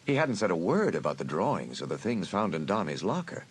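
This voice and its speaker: A man narrating a dramatised audiobook in an expressive storytelling manner. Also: sits low, a little fast, slight background noise.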